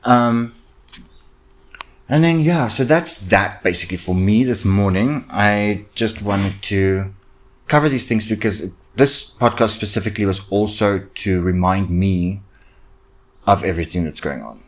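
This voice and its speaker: A young man whose first language is Afrikaans, hosting a solo podcast, talking in a halting, anxious, self-reflective way with many ums and restarts. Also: low-pitched. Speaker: podcast host. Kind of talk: solo personal podcast rant.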